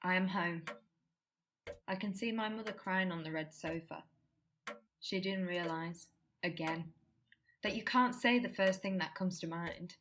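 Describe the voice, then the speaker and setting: A woman's voice: on the low side, unhurried, pauses often, background noise, lightly acted. A woman playing an anguished, suffocated young woman in a poetic, dramatic radio drama monologue. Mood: melancholy, stifled. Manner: poetic, dramatic, repetitive phrasing.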